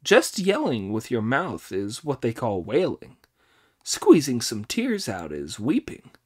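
A man voicing a brash, cheeky monkey king who talks high and loud in an audiobook. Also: huge pitch swings, slow pace, slightly rough, full character voice. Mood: bossy. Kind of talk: audiobook character voice.

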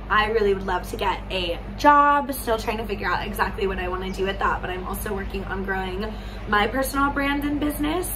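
A woman in her thirties, from California, chatting to camera on a balcony for her travel vlog, her voice a little hoarse, laughing often. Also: mid-range voice, noisy background. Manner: bubbly, laughs often, lively hand gestures. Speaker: travel vlogger. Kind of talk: casual chat to camera. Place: sunny balcony outdoors.

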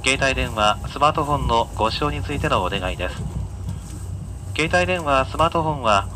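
A man working as a train conductor, giving polite, formal announcements and sightseeing guidance to passengers in a measured way. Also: mid-range voice, expressive. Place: inside a moving train, over the announcement system. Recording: on-board PA captured amid train noise. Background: faint train running noise.

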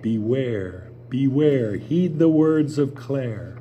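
A man's voice: medium pitch, expressive, slow pace, dark tone, muffled recording, a little hiss or hum. Older man playing a sly, warning sister. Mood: ominous, insinuating. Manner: ominous, insinuating, warning. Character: scheming, envious sister, female. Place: small theater stage with audience. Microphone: table mic.

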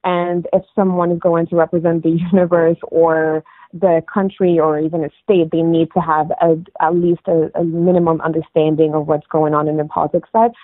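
A woman's voice: low-pitched, flat delivery, normal speed, no room sound. A woman from Morocco in her thirties, a guest chatting in an interview, with frequent restarts and fillers. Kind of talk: casual interview talk. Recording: dry tinny phone line.

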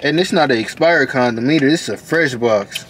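A man's voice: medium pitch, a little fast, fairly bright tone, faint music behind. A man in his twenties with an American accent arguing casually and heatedly in a car, exasperated, slangy and sweary, repeating himself. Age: 20s. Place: inside a parked car. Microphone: camera on the dashboard.

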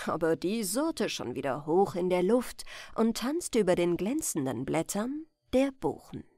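A woman reading a bedtime fairy tale as a storyteller, in a gentle, expressive manner. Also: lowish voice, unhurried, no room sound, studio quality.

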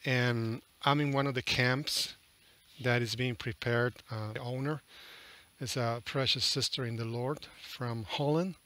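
A middle-aged man conducting an informal interview in an earnest, halting way. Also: mid-range voice, steady tone, pushed and bright. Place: outdoors under a large canopy. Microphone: headset.

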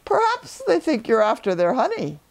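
A woman's voice: on the high side, huge pitch swings, normal speed, pitched above the performer's own voice. A woman voicing a kindly, straightforward young boy with plain, brief replies in a children's storybook. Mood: helpful. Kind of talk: children's storybook read aloud.

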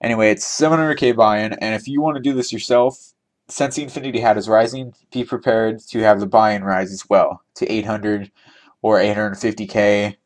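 Young male game host, lowish voice, loose rambling commentary with laughs, desk mic. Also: steady tone, moderate pace. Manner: chatty, rambling, laughs often, thinks aloud. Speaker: trivia giveaway host. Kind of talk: casual gameplay commentary.

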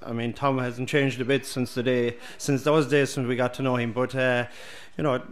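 A middle-aged Irish man, a former midfielder, speaking hesitantly with many pauses in a pub. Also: medium pitch, flat delivery. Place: busy pub, indoors.

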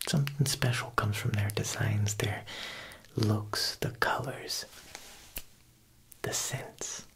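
A young man playing a smooth, menacing Italian mob boss tailor in a whispered ASMR roleplay, coaxing and deliberate with veiled threat. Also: lowish voice, fairly even intonation, fairly bright tone. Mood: smooth, boastful. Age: young adult. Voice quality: breathy whisper with creaky edges. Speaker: ASMR performer.

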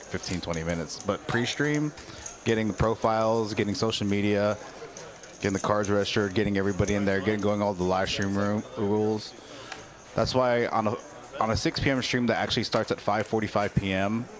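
Low-voiced man chatting in a booth. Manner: rambling, chatty, talks directly to viewers in chat. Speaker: stream producer and co-commentator. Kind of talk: casual live-stream commentary. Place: production booth.

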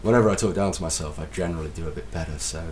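A man with a slight cold, casually commentating his gameplay as a let's play host, excitable and self-deprecating. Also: rumbling low, rapid-fire, far from the mic, a little hiss or hum.

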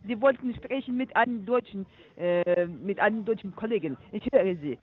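A woman working as a call center agent, speaking haltingly on the phone. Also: mid-range voice, crowd noise. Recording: poor tinny phone line.

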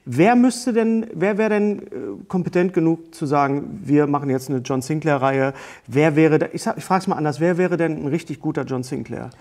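A man in his forties hosting a casual talk show, building his questions haltingly with repeated openings. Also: on the high side, darkish tone. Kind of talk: casual interviewing. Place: indoor studio set at a table.

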